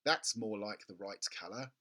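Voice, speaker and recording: A storybook reader voicing a helpful, thoughtful young boy in a careful, deliberate manner. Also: medium pitch, bright, forceful tone, voice pushed down, lightly acted. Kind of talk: children's storybook character voice.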